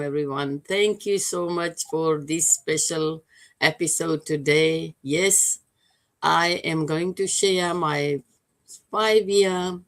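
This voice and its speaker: An older woman tells her own story as an interview guest. Her voice sits low for a woman, and she speaks in a measured way with many small fillers. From Fiji.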